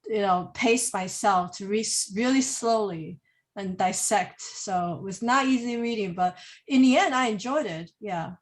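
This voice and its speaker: A woman's voice: medium pitch, a little slow. A woman whose first language is Mandarin Chinese, sharing her thoughts in a discussion group with hesitant, filler-heavy English. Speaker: reading group member. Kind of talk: casual discussion.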